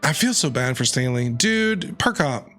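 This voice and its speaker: A man streaming a story game, reacting in an excitable, animated way. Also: mid-range voice, unhurried, soft background music.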